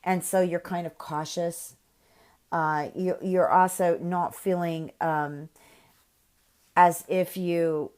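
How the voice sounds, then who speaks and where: Woman tarot reader, nasal from a cold, lowish voice, gentle and unhurried with fillers. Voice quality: slightly nasal. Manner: gentle, spiritual, many filler pauses. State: congested with a cold. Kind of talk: tarot reading monologue.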